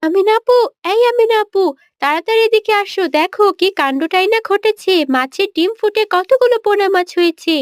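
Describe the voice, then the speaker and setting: Eager little sister, extremely high-pitched. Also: female voice, fairly quick, no echo, clean studio sound. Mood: excited. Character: eager, innocent little sister, a young girl. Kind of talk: Bengali cartoon voice acting.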